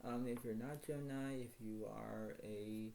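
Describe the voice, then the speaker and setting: A man giving a casual tarot reading to his viewers. He speaks quietly and rambles, circling back to the same stock phrases. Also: steady tone, a little slow, gravelly. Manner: talks quietly, apologizes for his low voice. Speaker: tarot card reader.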